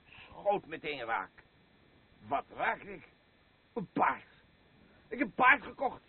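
Boisterous drunkard husband, gravelly, wildly swinging pitch. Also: male voice, lively intonation, phone-quality sound, exaggerated voice. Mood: excited, rambling. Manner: rambling, halting, swinging between elation and weariness. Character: boisterous, rambling alcoholic husband obsessed with a horse. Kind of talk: Dutch radio play acting.

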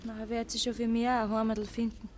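A woman's voice: fairly high pitch, completely flat delivery, brisk, lightly acted. An actress playing a pert, plucky young country girl in a 1950s radio play, with a Bavarian accent. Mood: hopeful. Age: young adult. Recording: faint hiss of old radio recording.

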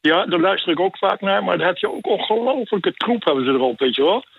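A man of seventy-seven calling a radio show by telephone, halting and searching for his words. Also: sits high, expressive, gravelly, breathy edge, no room sound. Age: 70s. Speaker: phone-in caller. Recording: tinny phone line.

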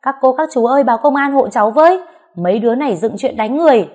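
A woman's voice: mid-range voice, a little fast, close to an everyday voice. Woman acting a cornered young orphan woman. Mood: crying for help. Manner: pleading, then shouting defiant threats.

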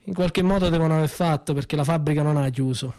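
Man telling a quiet stage monologue. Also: fairly high pitch, fast. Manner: conversational, with hesitant repeated words and sudden soft drops. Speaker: stage actor performing a monologue. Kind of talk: theatrical monologue, first-person storytelling.

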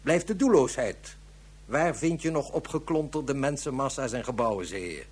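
A man's voice: medium pitch, lively intonation, normal speed, husky. A man playing a grave, articulate police inspector in a science fiction radio drama, explaining things in rhetorical questions. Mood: emphatic, rhetorical.

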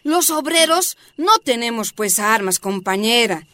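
An actress playing a brisk, resolute leader of miners' housewives, firm and matter-of-fact, with a Bolivian accent, in a radio drama. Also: female voice, on the high side, expressive, pushed and bright, no room sound. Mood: confiding.

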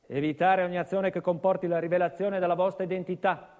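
A man's voice: sits high, little pitch movement, fast talker, narrow, tinny audio, lightly acted. Man acting a cold official in a reverberant hall. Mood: officious, stern. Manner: formal, bureaucratic, detached. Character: cold, officious male witness protection officer. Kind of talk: stage monologue drama, official reading rules.

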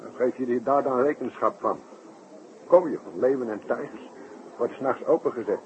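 An actor playing an excitable, worried circus performer in a 1960s radio drama, emphatic and chummy in manner. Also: male voice, mid-range voice, moderate pace, close to an everyday voice. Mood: worried, agitated. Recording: muffled, noisy 1960s radio recording.

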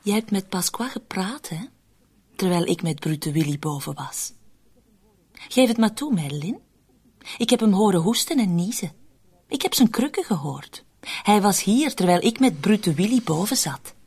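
An actress playing a cynical, scheming woman who deflects blame in a radio drama, with a husky voice. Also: mid-range voice, lively intonation, moderate pace, a little mellow. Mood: defensive, accusing.

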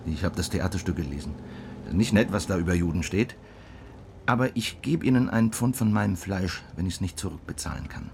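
Deep, gravelly stubborn man. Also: brisk, a little hiss or hum. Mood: earnest, stubborn. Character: earnest, stubborn, low-voiced man pleading for a loan. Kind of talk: naturalistic radio drama acting.

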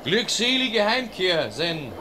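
A man's voice: high-pitched, very animated, slow pace, a loud crowd, exaggerated voice. An actor playing an observant, inquisitive country fisherman in a classical radio drama. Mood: observant, friendly.

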